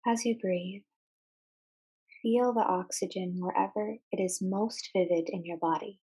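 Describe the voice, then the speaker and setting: A woman leading a guided meditation, giving measured instructions in short phrases with deliberate pauses. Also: medium pitch, steady tone, mellow, slightly rough, low-quality recording.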